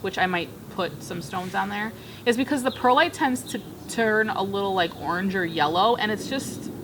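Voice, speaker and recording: A woman's voice: mid-range voice, normal speed, noisy background. A woman in her thirties chatting casually as she repots plants outdoors on a backyard patio, recorded by a camera across the table. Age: 30s. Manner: chatty, upbeat, talks while working with her hands. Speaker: plant vlogger. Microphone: camera framing from across a table.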